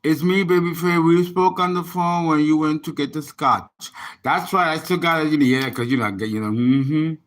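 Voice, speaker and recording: A man's voice: fairly high pitch, moderate pace. A man, an astrologer and tarot reader, chatting casually on a livestream and rambling as he reads viewer comments aloud.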